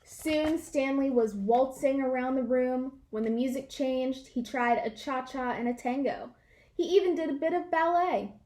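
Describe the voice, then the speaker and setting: High-voiced woman reading a children's story. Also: a little slow, mellow, poor audio. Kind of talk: animated children's read-aloud narration.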